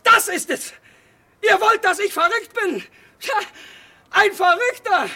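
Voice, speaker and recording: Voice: very high-pitched, takes it slowly, bright, forceful tone, full character voice. An actor playing a hysterical, disturbed man confessing in a radio play, shrieking between laughter and sobs. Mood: frantic.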